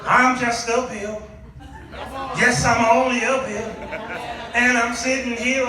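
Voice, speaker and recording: A middle-aged American man preaching a humorous, animated storytelling sermon, often throwing questions out to his congregation. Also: very high-pitched, takes it slowly, a crowd in the background. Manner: animated, declamatory, often calling on the listeners with questions. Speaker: guest preacher. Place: large echoey room with a congregation. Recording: echoey hall, distant mic, audience noise.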